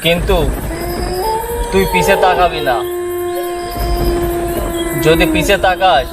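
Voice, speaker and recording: Man as a stern, slightly high-voiced warning uncle. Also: fairly even intonation, slow, loud music behind the voice. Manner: cautioning, commanding. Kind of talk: horror story character voice. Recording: clear background noise under the voice.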